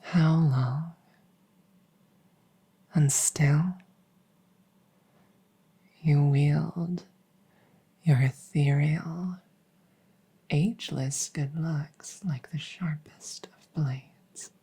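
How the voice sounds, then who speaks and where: A woman playing a devoted, centuries-old vampire maid in a gentle ASMR roleplay, speaking softly and formally in a breathy near-whisper. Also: low voice, fairly even intonation, drawn-out words, pauses often, exaggerated voice. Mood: wistful, devoted. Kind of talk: soft-spoken roleplay comfort ASMR.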